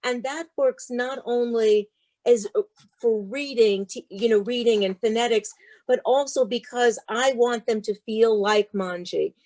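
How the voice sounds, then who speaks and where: Lady author, voice on the high side, emphatic and rhythmic storybook-sharing style. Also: measured pace, a little mellow. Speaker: author guest. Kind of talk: interview talk and expressive storybook read-aloud.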